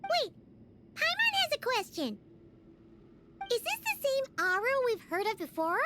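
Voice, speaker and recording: A woman's voice: very high-pitched, huge pitch swings, a little slow, heavy background noise, full character voice. A woman voicing a small, childish, chatty fairy companion in a video game, exclaiming often. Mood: puzzled. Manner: refers to self in third person, exclaiming. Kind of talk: video game character acting.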